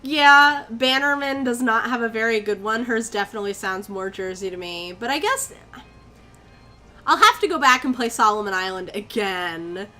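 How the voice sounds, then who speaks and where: A woman's voice: on the high side, lively intonation, normal speed, sharp tone, faint music behind. A young woman from Connecticut streaming a horror game, chatting with viewers, easily spooked and swearing. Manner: jumpy, easily spooked, swears and chats with chat. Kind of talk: live gameplay commentary.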